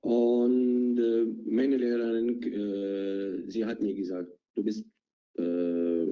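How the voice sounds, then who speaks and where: Man, low dark voice, very slow, halting and hesitant, lots of fillers, dull lo-fi call audio. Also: level tone. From Iraq. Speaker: project participant. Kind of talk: interview answers in learner German. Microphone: video call.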